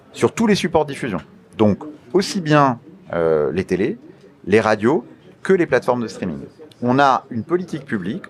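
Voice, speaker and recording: Male, French accent, lowish pitch. Institutional interviewee, deliberate, halting, explains carefully. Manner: formal, deliberate, hesitant phrasing with repeated words. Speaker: media regulator official being interviewed.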